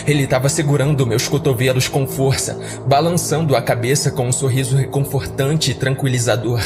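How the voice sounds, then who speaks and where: A man's voice: mid-range voice, steady tone, brisk, over music. A man narrating a scripted horror story in a dramatic audiodrama style, as a podcast storyteller.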